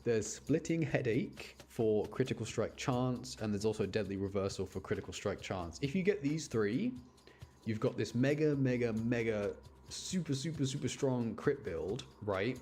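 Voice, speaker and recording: A man's voice: mid-range voice, expressive, normal speed, a little mellow, a little hiss or hum. A man giving casual live commentary as he streams a video game, rambling and often repeating himself.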